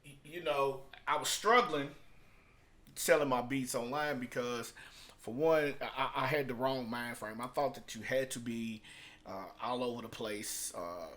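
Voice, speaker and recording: A man with a mid-pitched voice talks casually to his viewers, like an independent producer hosting his own video. His delivery is unscripted and halting, with repeated words for emphasis. It moves between subdued stretches and hyped, louder pushes. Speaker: music producer and entrepreneur hosting a video book review. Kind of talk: casual unscripted vlog monologue.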